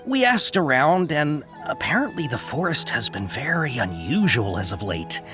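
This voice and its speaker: A man's voice: mid-range voice, very animated, normal speed, over music, close to an everyday voice. A man playing a worried, apologetic caravan merchant in a game, explaining himself hesitantly. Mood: worried, defensive. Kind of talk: English game dub dialogue.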